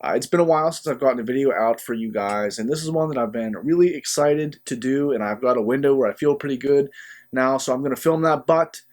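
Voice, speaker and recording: A young man hosting a book channel, talking casually to camera while sick and a bit sniffly, chatty and easygoing with frequent filler pauses. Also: medium pitch, darkish tone. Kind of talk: casual vlog talk to camera.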